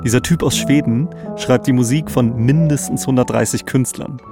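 A man's voice: mid-range voice, normal speed, over music. A man co-hosting an investigative podcast in a conversational narrating style. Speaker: podcast co-host.

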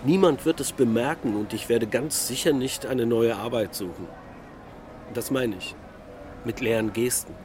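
A man's voice: mid-range voice, moderate pace, natural voice. An actor playing a melancholic middle-aged husband and father in a naturalistic radio drama, musing reflectively in short sentences. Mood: brooding, resigned. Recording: loud urban traffic ambience.

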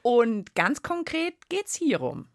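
A woman's voice: fairly high pitch, very animated, slow, dry recording. A German woman hosting a children's radio show in a studio, playful and laughing often. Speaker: radio host. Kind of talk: lively children's radio chat. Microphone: studio microphone.

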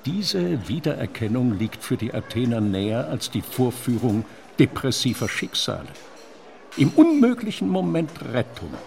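Earnest opera scholar in a radio play interview, super lively intonation, formal and learned, mid pitch. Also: male voice, moderate pace, vocal fry. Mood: earnest, didactic. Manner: erudite, formal, emphatic. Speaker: interviewed author.

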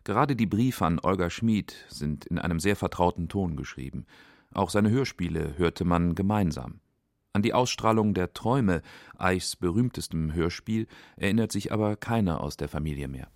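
A man's voice: sits low, lively intonation, moderate pace, dry recording, studio quality. A man reading scripted narration for a radio documentary. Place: dry studio.

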